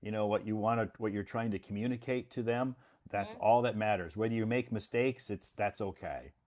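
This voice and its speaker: An American man hosting a casual English conversation lesson, talking in a relaxed, reassuring way and often repeating himself. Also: fairly low pitch, a little fast. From United States. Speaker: English teacher and host.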